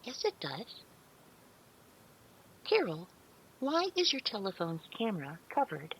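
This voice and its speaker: Audio drama role: woman voicing an innocent, earnest AI, formally polite, animated intonation with a creaky edge. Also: mid-range voice, measured pace, slight background noise. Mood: earnest, inquisitive. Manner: precise formal wording, innocently literal. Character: polite, naive artificial intelligence woman, earnest and childlike.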